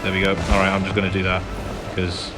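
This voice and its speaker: A man's voice: low-pitched, monotone, fast talker. Apologetic man commentating over game music. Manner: apologetic, self-deprecating. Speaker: gamer narrating a playthrough. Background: loud video game music.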